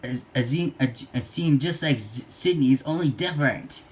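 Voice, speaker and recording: A man voicing an excitable, simple-minded boy sidekick from a cartoon, with a goofy, enthusiastic manner, in a storybook read-along. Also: medium pitch, moderate pace, slight background noise, lightly acted. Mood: excited.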